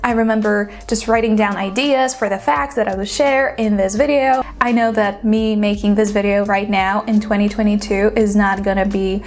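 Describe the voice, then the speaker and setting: A woman's voice: medium pitch, fairly even intonation, moderate pace, few pauses. A woman in her twenties from Poland with a Polish accent, chatting casually to her viewers with frequent "like" fillers. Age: 20s. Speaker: YouTuber. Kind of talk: casual vlog chat.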